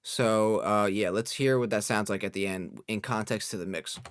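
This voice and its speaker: Low-pitched man giving a casual tutorial. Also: normal speed, loud music behind the voice. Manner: informal, explanatory, step by step. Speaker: audio engineer, tutorial host. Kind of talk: casual screen-recorded software tutorial.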